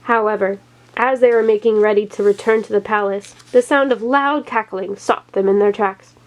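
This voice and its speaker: A woman's voice: medium pitch, steady tone, normal speed, rough recording. A woman storyteller reading a children's tale aloud.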